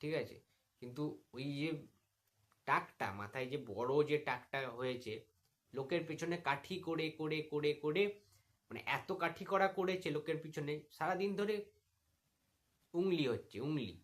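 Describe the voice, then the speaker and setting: A man's voice: on the high side, animated, normal speed, long pauses. A man with a Bengali accent delivering an indignant, mocking rant as a video commentator, full of rhetorical questions. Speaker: YouTube commentator making a reply video. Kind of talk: opinionated rant addressed to the camera.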